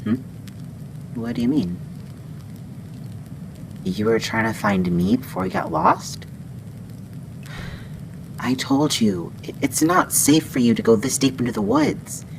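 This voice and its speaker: A woman playing a friendly, skittish wendigo in an ASMR roleplay, protective and halting in the way this forest monster talks. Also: a bass voice, huge pitch swings, exaggerated voice. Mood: wary, playful. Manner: hesitant, halting, hushing. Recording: clearly noisy background.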